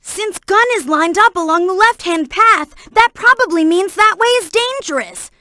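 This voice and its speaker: Very high, bright cartoon boy fox. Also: no room sound, studio quality, heavily acted. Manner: earnest, clearly enunciated, childlike. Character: young boy fox sidekick, earnest, helpful, excitable. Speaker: video game character giving hints. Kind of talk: game voice acting, tutorial hints and alerts.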